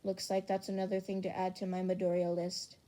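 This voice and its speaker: A woman's voice: lowish voice, completely flat delivery, fairly quick, warm tone, natural voice. A woman voicing a frank, infatuated teenage student in an audiobook, understated and matter-of-fact.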